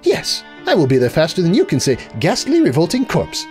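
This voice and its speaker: Man putting on a high, prissy, flustered cartoon lady unicorn. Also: wildly swooping pitch, a little fast, a little mellow, faint music behind. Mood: strained politeness. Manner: fussy, flustered, stammering politeness. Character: prissy, squeamish, theatrical lady unicorn, queasily polite.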